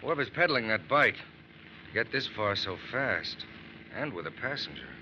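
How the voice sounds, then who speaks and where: An actor playing a brisk, suspicious FBI agent in an old radio crime drama, asking terse questions. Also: male voice, lowish voice, very animated, sharp tone, exaggerated voice. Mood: watchful. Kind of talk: 1940s-50s radio crime drama acting. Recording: muffled old radio recording with noise.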